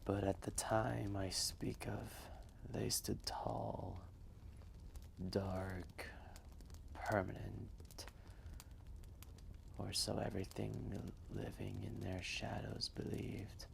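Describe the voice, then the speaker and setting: Man acting as ancient dragon, very gravelly, unhurried, solemn, reflective, breathy phrase endings, fireside storytelling. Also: on the low side, level tone, heavily acted. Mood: wistful, reflective. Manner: slow, deliberate, pausing, solemn reminiscing. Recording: faint background noise, fireplace ambience.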